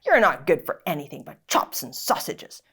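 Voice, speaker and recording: A woman plays a cross father from a children's storybook. He scolds and snaps in a bad temper, with lively swings of pitch and a creaky edge. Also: normal speed, exaggerated voice. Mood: angry, threatening. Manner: snappish, scolding. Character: cross, bad-tempered man shouting threats at his goat.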